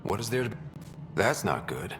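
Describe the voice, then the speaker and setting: A man's voice: fairly low pitch, big pitch swings, moderate pace. A man playing a concerned, helpful space explorer in a video game, talking casually. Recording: reverberant noisy hall.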